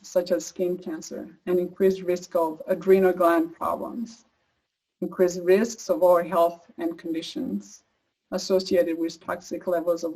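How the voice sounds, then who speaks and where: A woman's voice: on the low side, darkish tone, low-quality recording. Earnest woman with an East African accent. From Uganda. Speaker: advocate speaker sharing a personal story. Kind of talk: prepared personal speech, partly read.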